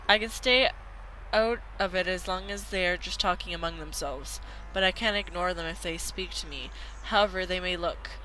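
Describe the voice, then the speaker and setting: Female gaming host, bright forward tone, halting read-aloud delivery of visual novel text, slightly noisy amateur recording. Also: on the low side. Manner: halting read-aloud with stumbles and self-corrections.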